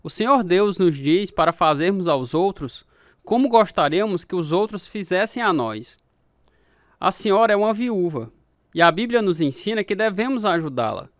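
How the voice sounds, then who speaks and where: A kind young son-in-law in a radio drama. His delivery is earnest and tender, with lively swings in pitch. The sound is dry and close. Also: normal speed, no echo. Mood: earnest, warm.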